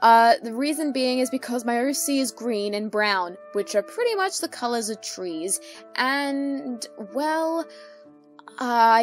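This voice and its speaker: A woman answers fan questions as a casual host. She speaks slowly in a fairly high voice, rambling and hesitating with light amusement. Quiet music plays underneath. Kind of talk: casual Q&A chat. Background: soft music.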